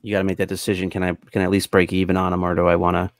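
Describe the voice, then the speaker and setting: A man's voice: deep voice, completely flat delivery, very fast, vocal fry, no echo, clean studio sound. A man hosting a podcast in casual group chat. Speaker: podcast host.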